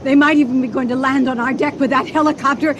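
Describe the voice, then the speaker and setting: An anxious, devoted wife played by an actress in an old radio play, her voice high and breathless. She speaks with dramatic emphasis through a clearly noisy vintage recording. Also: fairly even intonation, fast talker, lightly acted. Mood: urgent dread. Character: anxious, devoted wife caught at sea in a storm.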